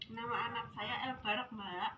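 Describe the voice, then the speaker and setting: A woman plays a polite, slightly puzzled working mother in her late twenties. The role-play dialogue sounds rehearsed, recorded in an echoey room with clear background noise. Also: a little high, fairly even intonation, moderate pace, bright, forceful tone, dull audio.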